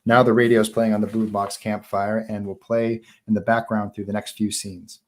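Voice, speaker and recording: A man with a fairly low voice reads screenplay action and scene headings aloud. He narrates for a live table read. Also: level tone, normal speed. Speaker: narrator reading stage directions.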